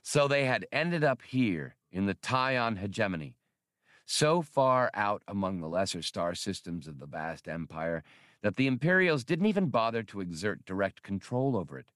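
A man narrating an audiobook in a measured, steady storytelling manner. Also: fairly low pitch, no room sound, studio quality.

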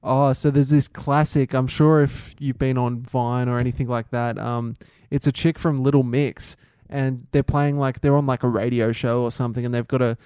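An Australian man co-hosting a podcast, chatting and joking in a relaxed, talkative way. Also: mid-range voice, smooth, no echo. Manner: chatty, quick to laugh, joking. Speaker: podcast co-host. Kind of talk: casual banter.

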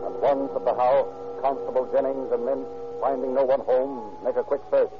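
A man giving measured, dramatic scripted narration for a vintage radio crime drama. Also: mid-range voice, steady tone. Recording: dull old radio recording with music.

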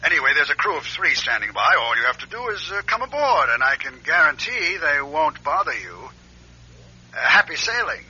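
An actor playing a sly executive on the telephone in a radio drama, breezy and evasive with hesitant pauses. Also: male voice, on the high side, sing-song, pushed and bright, slight background noise, full character voice. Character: sly former boss hinting at foul play. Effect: telephone filter. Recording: tinny telephone effect, lo-fi radio recording.

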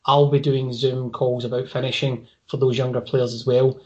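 Flat-toned man giving long analysis. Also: mid-range voice, moderate pace, highs cut off. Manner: long analytical turns, repeats words as he thinks. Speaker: podcast guest. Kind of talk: casual football podcast chat.

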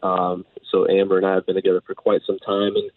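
A man's voice: deep voice, monotone, fast talker, no room sound. A man in his thirties, a baseball manager, talking earnestly on a radio interview with lots of fillers and restarts. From United States, Dominican background. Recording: tinny phone line.

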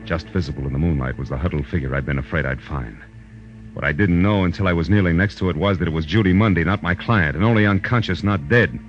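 An American actor playing a wry, urgent private detective in a 1940s radio drama, speaking in a clipped, hard-boiled way on an old broadcast recording. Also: male voice, low voice, fast talker, highs cut off, soft background music, close to an everyday voice. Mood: tense, wry. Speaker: lead actor and first-person narrator.

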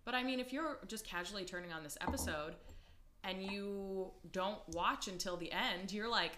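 A woman co-hosting a podcast in casual chat, chatty and expressive as she recaps plots. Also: mid-range voice, moderate pace, slight background noise. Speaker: podcast co-host.

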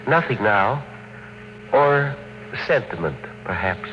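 Wistful, lively-pitched male inventor. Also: slow. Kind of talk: old-time radio drama acting. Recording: muffled, very noisy vintage radio.

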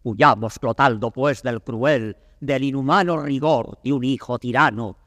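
Anguished old king, slow and echoing. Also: mid-range voice, a bit bright. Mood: anguished lament. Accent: Castilian Spanish. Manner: declamatory, grave, drawn-out delivery. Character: anguished defeated old king, a father facing fate. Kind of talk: classical Spanish verse theatre acting. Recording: reverberant hall, vintage studio drama record.

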